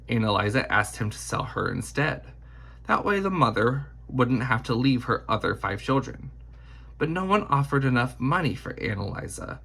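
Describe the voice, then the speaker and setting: A man reading a children's picture book aloud in deliberate, emphatic phrasing. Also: mid-range voice, vocal fry, a bit bright, slightly rough. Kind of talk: scripted storybook read-aloud.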